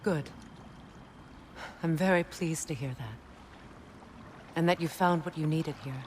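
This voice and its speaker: A woman's voice: low-pitched, a little slow, darkish tone, a little hiss or hum. A woman playing a gracious, self-possessed goddess in a video game. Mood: pleased. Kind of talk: video game cinematic acting.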